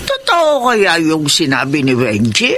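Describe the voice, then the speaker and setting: A woman's voice: low-pitched, wildly swooping pitch, unhurried, loud music behind the voice, exaggerated voice. An actress playing a suspicious, protective middle-aged mother in a radio drama. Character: suspicious, protective, scheming-minded mother of grown son.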